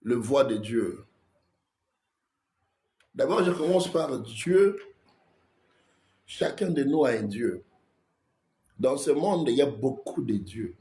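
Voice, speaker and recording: Slow-talking pastor man with a cold. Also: medium pitch, animated, warm tone. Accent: Congolese French. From Congo. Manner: slow, greets viewers by name. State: has a cold, coughing. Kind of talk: livestream sermon and greetings.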